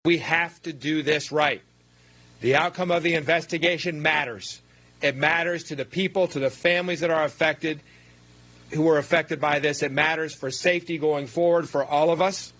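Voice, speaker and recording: Male official, moderately high register, formal statement, measured emphasis. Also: steady tone, a bit bright, low-quality recording.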